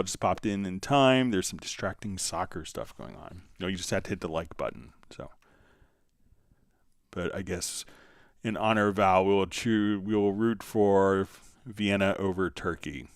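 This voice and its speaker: A man hosting a casual live music software tutorial, answering viewer questions in a halting, thinking-aloud way. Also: sits low, clean tone, dry recording, clean studio sound.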